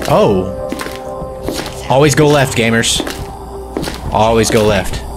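A man's voice: medium pitch, animated, extremely slow. A young man playing a horror game and reacting with jokey, exclamatory commentary into a headset mic. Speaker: gaming streamer. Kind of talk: let's play commentary. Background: game music.

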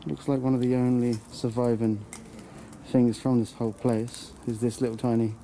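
A man's voice: medium pitch, flat delivery, moderate pace, vocal fry, mellow. A young man with an English accent narrating his walk through an abandoned building, joking crudely and swearing often. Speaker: urban explorer vlogger. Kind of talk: casual exploring commentary. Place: dark abandoned burnt-out building, indoors. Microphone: handheld camera. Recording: noisy handheld camera audio.

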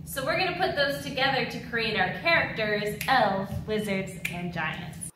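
A woman in her thirties teaching a dance lesson to children, with an animated, encouraging, clearly enunciated delivery. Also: fairly high pitch, moderate pace, music in the background, low-quality recording. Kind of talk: instructional video lesson for children. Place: large mirrored dance studio indoors.

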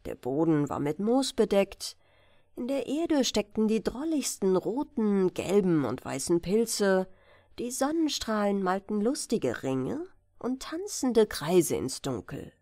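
A woman narrating a fairy tale as an expressive bedtime audiobook reading. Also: mid-range voice, slow pace, no room sound, studio quality.

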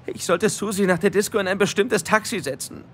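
An actor playing a frightened, whiny young small-time crook in an audio drama, husky and nervous. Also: male voice, high-pitched, steady tone, a little fast, fairly bright tone, a little hiss or hum. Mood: defensive. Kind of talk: German audio drama acting.

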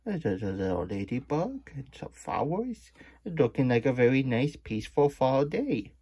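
A man's voice: medium pitch, sing-song, normal speed, mellow, breathy edge, no room sound, lo-fi sound. A man voicing a cheerful, childlike plush hedgehog who reads storybooks with chatty asides in muddled third-person baby talk. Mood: wondering, sweet. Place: small indoor room at a desk.

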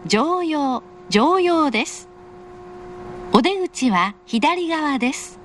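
A woman's voice: high-pitched, animated, extremely slow. A woman giving a polite, formal, carefully enunciated recorded station announcement as a train announcer. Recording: faint train noise. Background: train car ambience.

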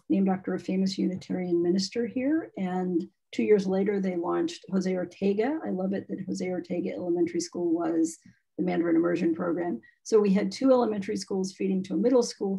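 A woman talks in an easy, opinionated way over a video call. Her voice is mellow and slightly husky. She sounds at ease, like someone used to explaining things. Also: mid-range voice, rough recording. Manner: chatty, frank, opinionated. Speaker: guest speaker on immersion schooling. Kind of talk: casual video-call talk.

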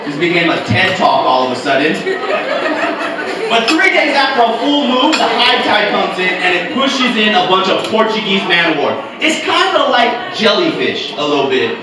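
High-voiced man from Hawaii doing stand-up. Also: very animated, heavy background noise. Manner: loose, crude storytelling with quick asides to the crowd. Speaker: stand-up comedian. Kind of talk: stand-up comedy set. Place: stage of an indoor comedy club. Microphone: handheld mic, recorded by a camera far back in the room. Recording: echoey club audio from far off, loud crowd.

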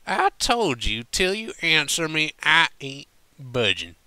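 A man's voice: a little high, very animated, slow pace, sharp tone, clear, resonant tone, no room sound, voice pushed up, full character voice. A man voicing a stubborn, earnest, drunken female country pony in a storybook, with a slurred American Southern drawl and folksy, blurting manner. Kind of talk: fan fiction audiobook character voice.

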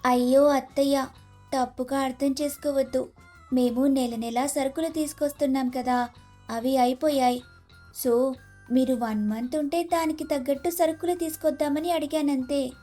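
A woman's voice: high voice, steady tone, normal speed, dark tone, faint music behind, close to an everyday voice. A woman voicing a scheming, complaining young daughter-in-law with a dramatic manner in a family moral story. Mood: placating. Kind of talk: moral-story cartoon dialogue.

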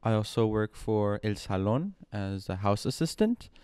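Mellow, slow-spoken young man. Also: fairly low pitch, animated, clear, resonant tone, dry recording. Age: young adult. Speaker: panel co-moderator, gallery assistant and artist. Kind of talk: informal self-introduction. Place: indoor room, seated panel. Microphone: handheld microphone.

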